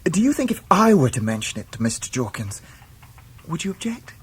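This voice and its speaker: An actor playing an earnest, polite, lovestruck young English gentleman in a period radio drama. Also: a little high, big pitch swings, brisk, husky, heavily acted. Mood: polite, anxious.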